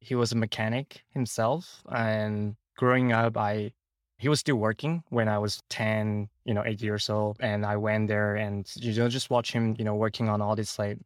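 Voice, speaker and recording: A man's voice: lowish voice, steady tone, normal speed, clear, resonant tone, no echo, clean studio sound. A man with a Hong Kong accent telling his personal story casually as an interview guest. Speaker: podcast guest.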